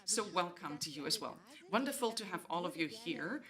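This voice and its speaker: A woman's voice: on the low side, animated, moderate pace, crowd noise. A woman moderating a panel discussion, introducing each guest at length before opening the debate.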